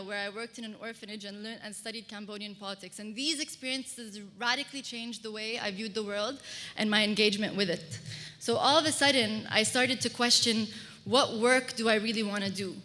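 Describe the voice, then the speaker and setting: Bright-voiced woman speaking in a reverberant hall. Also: fairly high pitch. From Kuwait. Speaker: public speaker, fashion designer. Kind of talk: personal storytelling conference talk. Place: large hall, speaking to an audience. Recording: reverberant hall, amplified stage talk.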